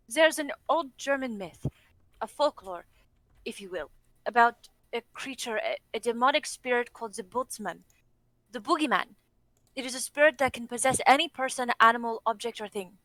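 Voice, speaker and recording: A woman playing a frightened, earnest young woman who knows dark folklore, in a dramatic script reading. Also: fairly high pitch, normal speed, dry recording, poor audio. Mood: ominous, explanatory.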